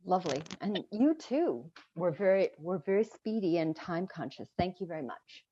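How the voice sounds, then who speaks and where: A woman's voice: on the low side, big pitch swings, normal speed, dark tone, slight background noise. Woman moderator on dull call audio. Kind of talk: moderating a panel.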